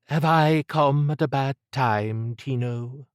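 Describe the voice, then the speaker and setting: A man's voice: mid-range voice, slow, dry recording, studio quality, voice pushed up, natural voice. A man voicing a slim, androgynous occult schemer with an upper-class English accent and an arch, urbane, needling manner. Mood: arch amusement.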